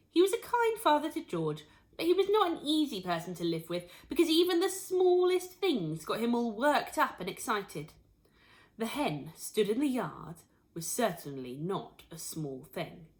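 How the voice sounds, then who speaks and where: A woman reading a children's story aloud in an animated storytelling style. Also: medium pitch. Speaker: story reader.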